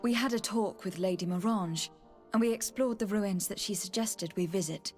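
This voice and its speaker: An actress playing a composed young noblewoman diplomat in a video game, speaking in a measured, formal, earnest way. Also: mid-range voice, faint music behind, natural voice. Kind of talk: video game cutscene acting.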